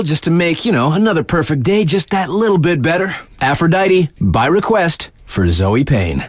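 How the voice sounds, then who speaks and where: A man's voice: medium pitch, dry recording. An actor playing a laid-back, cocky young male radio DJ in a snowboarding video game, introducing songs in breezy slang with wry asides. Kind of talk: casual radio DJ patter, song introductions. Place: dry studio.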